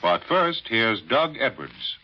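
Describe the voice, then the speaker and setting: A man's voice: on the low side, big pitch swings, slow, breathy edge, muffled recording. An American man working as a 1940s radio announcer, reading scripted program introductions and sponsor messages. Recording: noisy, band-limited 1940s radio broadcast.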